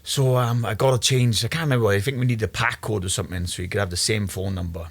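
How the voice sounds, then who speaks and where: A man's voice: lowish voice, quick pace, creaky. A young Welsh man, a guest fighter, telling rowdy stories in casual chat, swearing freely and laughing at his own tales. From Wales.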